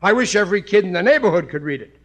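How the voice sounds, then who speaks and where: A working-class family father in a vintage radio comedy. He blusters eagerly in a high-pitched voice, tripping over words and mangling grammar. He sounds thoroughly sure of himself and easily fooled, on an old 1950 broadcast recording. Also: lively intonation, rapid-fire, fairly bright tone. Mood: pleased. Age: middle-aged. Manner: blustery, stammering, ungrammatical. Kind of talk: 1950 radio sitcom acting.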